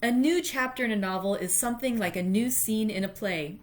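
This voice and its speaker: Female read-aloud host, mid-pitched voice, informal and self-deprecating, able to read literary narration. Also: a little fast. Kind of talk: chatty introduction, then literary audiobook-style reading.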